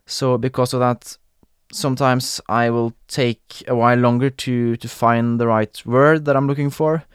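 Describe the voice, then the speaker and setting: Norwegian guy hosting his podcast, second-language english, lots of ums, smooth resonant voice, dead dry room. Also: medium pitch, little pitch movement, a little slow, no echo. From Norway. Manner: hesitant, many fillers and restarts, laughs often. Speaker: podcast host. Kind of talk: casual solo podcast talk.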